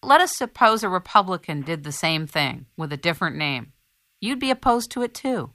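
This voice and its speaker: A woman hosting an interview, asking pointed questions in a deliberate way. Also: fairly low pitch, big pitch swings, bright, forceful tone, no echo, studio quality. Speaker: radio interviewer, host.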